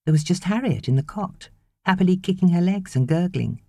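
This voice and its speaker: Female audiobook narrator, deep pitch, lively intonation, measured scripted storytelling. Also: no room sound.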